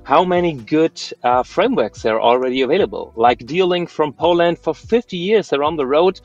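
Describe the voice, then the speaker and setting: A man's voice: medium pitch, dry recording. German-native male guest, hesitant, muffled dry remote-sounding mic. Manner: halting with frequent uh fillers. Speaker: podcast guest. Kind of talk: casual conversation.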